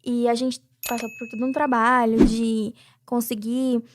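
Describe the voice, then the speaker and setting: A woman's voice: a little high, flat delivery, a little slow, noisy background. A young Brazilian woman, guest and charity president, talking reflectively in a casual interview with many filler tags. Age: young adult.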